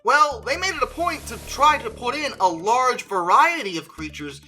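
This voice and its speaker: A woman's voice: on the high side, animated, moderate pace, pushed and bright, soft background music. A woman talking conversationally through an opinionated fan review, with emphatic stress on key words. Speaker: video creator reviewing films as a fan.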